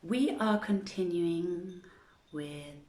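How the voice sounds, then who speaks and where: A woman's voice: lowish voice, drawn-out words, darkish tone, roomy sound, a little hiss or hum. A woman hosting an online story reading, speaking to listeners and reading literary tales aloud. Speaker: reader of stories to an online audience.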